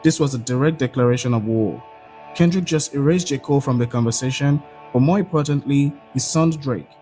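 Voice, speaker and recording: Measured male narrator over faint music. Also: medium pitch, mellow. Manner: measured, dramatic storytelling. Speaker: documentary narrator. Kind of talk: scripted dramatic narration.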